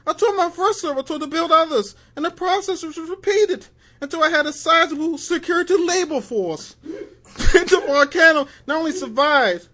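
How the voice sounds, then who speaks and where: Man putting on a high-voiced, pompous comic-book villain. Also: bright, forceful tone, exaggerated voice. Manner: boastful, theatrical declaiming. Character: pompous, grandiose immortal male comic-book villain bent on conquest. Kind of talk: amateur dramatic reading.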